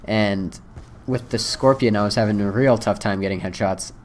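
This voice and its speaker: A young man, hoarse from a cold, casually rambling through his first impressions with lots of ums and uhs. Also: on the low side, moderate pace, darkish tone, a little hiss or hum. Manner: rambling, many fillers. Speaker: gaming video creator.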